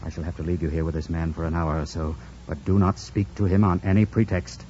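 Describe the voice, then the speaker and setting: Brooding, low-voiced gentleman master, played by a man in old radio drama. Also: level tone, quick pace, close to an everyday voice. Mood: hushed, commanding. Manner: intense, theatrical, formal diction. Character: brooding, commanding, troubled gentleman master of a house. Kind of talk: mid-century radio drama acting. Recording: muffled, noisy old radio broadcast.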